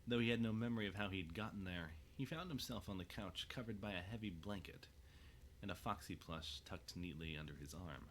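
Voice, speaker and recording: A man's voice: low voice, brisk, fairly bright tone, a little hiss or hum. A man narrating a scripted audio drama as its storyteller.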